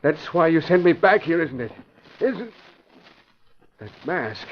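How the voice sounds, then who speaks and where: A man's voice: a little high, sing-song, takes it slowly, heavily acted. An actor playing a frightened, nervous man who stammers and pleads, in 1940s radio acting style. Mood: terrified. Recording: dull old radio broadcast with faint hiss.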